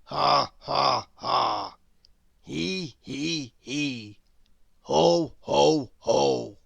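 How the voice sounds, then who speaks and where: A storyteller voicing a gloomy, mournful male creature who speaks in a low, miserable way. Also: huge pitch swings, takes it slowly, vocal fry, a bit bright, breathy edge, no room sound, exaggerated voice.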